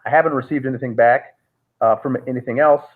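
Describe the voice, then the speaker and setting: A man's voice: mid-range voice, steady tone, fast. Man telling a story over tinny call audio. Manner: rambling, frequent "uh" fillers and restarts. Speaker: interview guest.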